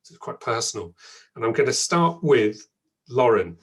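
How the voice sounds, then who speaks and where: A man's voice: mid-range voice, very animated, moderate pace. A man hosting an online event, chatty and thankful, with frequent ums and restarts. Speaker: event host.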